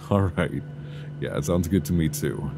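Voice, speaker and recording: A man playing an affectionate, reassuring boyfriend in an intimate, casual ASMR roleplay, speaking softly and soothingly close to a binaural mic. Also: rumbling low, lively intonation, creaky, exaggerated voice. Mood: affectionate, relaxed. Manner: soft, intimate, unhurried, soothing. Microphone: close binaural microphone. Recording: faint background noise, binaural.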